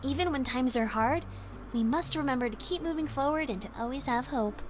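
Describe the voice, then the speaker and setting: A woman's voice: high voice, a little fast, natural voice. An actress playing a self-assured, teasing young woman student in a visual novel game, with neat, composed, girlish delivery. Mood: earnest.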